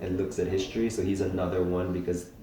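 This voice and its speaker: A young man from Hawaii, a filmmaker being interviewed, speaking thoughtfully with many pauses and restarts. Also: deep voice, flat delivery, warm tone. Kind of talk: casual interview talk. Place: festival venue indoors. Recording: very echoey room.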